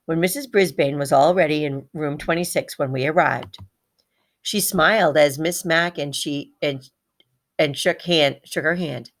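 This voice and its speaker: A woman reading a children's story aloud in an expressive, animated manner. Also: low-pitched, measured pace.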